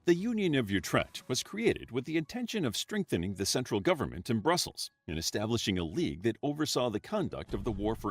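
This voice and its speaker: Male documentary narrator with a slightly husky voice and an animated delivery, over faint background music. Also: medium pitch, no echo. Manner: even, measured reading. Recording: narration from a played video with music under it.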